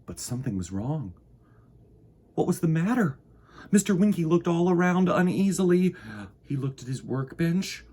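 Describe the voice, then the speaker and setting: A man hosting a children's storytime, reading a picture book aloud in an expressive, theatrical and playful way. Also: a little high, normal speed, long pauses, slight background noise. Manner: expressive, dramatic, playful with sound words.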